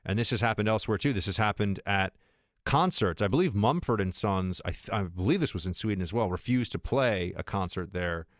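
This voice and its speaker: A man's voice: lowish voice, a little fast, no room sound, clean studio sound. A man hosting a talk radio show, talking off the cuff with many fillers and restarts. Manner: extemporaneous, with frequent fillers and restarts. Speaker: radio host.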